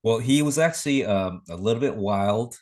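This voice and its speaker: A man's voice: lowish voice, expressive, moderate pace, darkish tone. A man co-hosting a casual sports podcast, reacting with interest and chipping in with short remarks. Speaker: podcast co-host.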